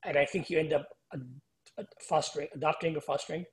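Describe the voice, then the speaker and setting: A man's voice: fairly high pitch, steady tone, fast talker. A man hosting a podcast, asking casual interview questions in a loose, chatty way with fillers. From United States. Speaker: podcast host.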